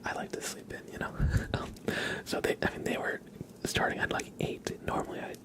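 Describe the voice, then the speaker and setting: A man hosting an ASMR livestream, chatting casually in a hushed, breathy whisper with an unhurried, relaxed manner. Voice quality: breathy whisper with low creaky undertone.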